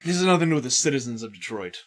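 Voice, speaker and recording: A man in his thirties co-hosting a casual gaming podcast, swearing freely and jumping between asides. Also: medium pitch. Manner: animated, profane, quick asides and impressions. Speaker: podcast co-host. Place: indoor studio set.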